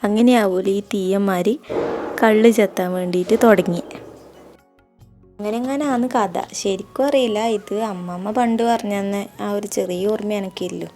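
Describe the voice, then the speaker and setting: Woman, Kannur North Kerala accent, mellow relaxed tone, casual vlog storytelling, hesitant informal delivery. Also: medium pitch, normal speed, faint music behind. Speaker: vlogger. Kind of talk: casual storytelling and chat while walking.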